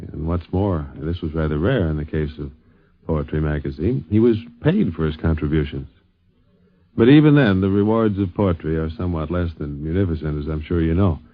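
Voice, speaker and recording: Deep-voiced 1950s radio host. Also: male voice. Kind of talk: 1950s radio scripted narration. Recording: tinny narrow-band 1950s radio recording.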